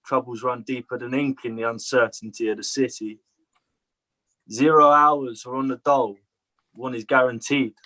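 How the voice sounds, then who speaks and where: A man reading his own poem aloud in a slow, measured way over a video call. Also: medium pitch, steady tone, sharp tone, no room sound. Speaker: poet.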